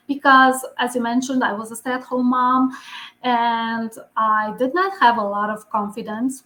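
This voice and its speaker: A woman's voice: on the high side, bright, forceful tone. A woman from Romania with a Romanian accent, speaking earnestly as a podcast guest; English is not her first language. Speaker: podcast guest, visibility expert. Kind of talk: podcast interview. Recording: echoey room.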